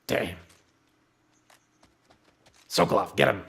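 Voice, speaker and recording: A man with a Finnish accent chatting and joking over a game at home, his voice jumping up when excited. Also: low-pitched, takes it slowly, gravelly, a little hiss or hum. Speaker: game streamer. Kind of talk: casual game commentary. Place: home room.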